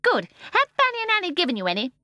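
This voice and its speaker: A woman voicing a tiny, ancient, tyrannical old shopkeeper woman with a shrill, squeaky, cackling voice, chattering gleefully one moment and turning soft and fierce the next. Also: huge pitch swings, fast, no echo, clean studio sound, full character voice. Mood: gleeful, chatty.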